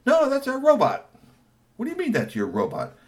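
A man doing a very high, urgent robot owner. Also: wildly swooping pitch, brisk, full character voice. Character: excited male robot owner, eager.

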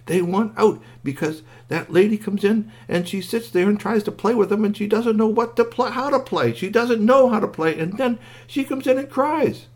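A man's voice: sits high, normal speed, a little mellow, voice pushed up. A man voicing a small, earnest talking toy robot in a children's story, chiding and fretful, repeating phrases insistently. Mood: urgent, upset.

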